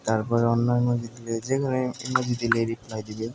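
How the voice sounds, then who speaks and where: Flat-voiced Bangladeshi man explaining step by step. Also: mid-range voice, quick pace. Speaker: tutorial presenter. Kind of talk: informal screen-recording tutorial narration. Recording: home recording with faint background noise.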